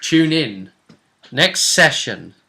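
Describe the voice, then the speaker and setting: A man hosts a casual chat about a tabletop role-play session. His voice is fairly high and lively, moving freely up and down, with a bright edge. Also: extremely slow, creaky. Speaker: host and game master of a tabletop role-play vidcast. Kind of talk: casual post-game chat.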